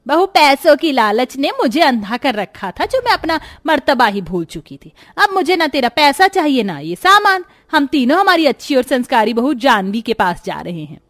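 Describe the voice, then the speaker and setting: Woman as greedy middle-aged mother-in-law, cartoon melodrama, high voice, very expressive, dry recording. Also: moderate pace, few pauses, no echo. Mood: regretful. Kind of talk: Hindi moral cartoon story acting.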